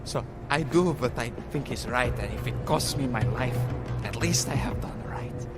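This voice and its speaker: An actor playing an anguished, conflicted family man in a video game, halting and pleading with emotion. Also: medium pitch, natural voice. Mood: desperate. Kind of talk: video game cutscene acting. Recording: game cutscene with music behind.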